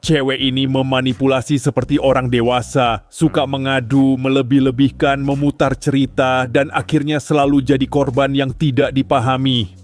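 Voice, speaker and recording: A man's voice: medium pitch, flat delivery, normal speed, faint music behind. A man giving comic, sarcastic scripted narration with an exaggerated ranting delivery.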